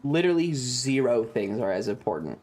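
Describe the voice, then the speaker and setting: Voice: medium pitch, sing-song, fairly quick, a little mellow, husky. A streamer giving casual, sarcastic game commentary to chat, playfully crude and full of swearing. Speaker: streamer, host. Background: faint game music.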